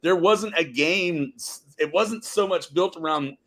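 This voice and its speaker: A man's voice: a little high, a little slow, a bit bright, rough recording. A man speaking as a podcast guest in a casual, conversational way. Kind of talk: casual chat.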